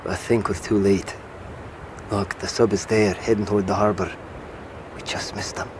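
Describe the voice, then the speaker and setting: A man's voice: lowish voice, normal speed, background noise, lightly acted. A man playing a dry, practical survivor. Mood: wary. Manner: steady, practical, coaxing. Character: dry, practical British male survivor companion. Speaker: companion of a wounded survivor. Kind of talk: audio drama acting.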